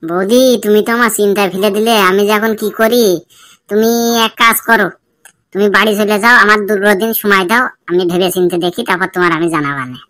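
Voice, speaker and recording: A woman's voice: medium pitch, fast talker, a bit bright, lightly acted. A woman voicing a hesitant, placating young village man in a comedy cartoon. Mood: worried. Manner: questioning, placating.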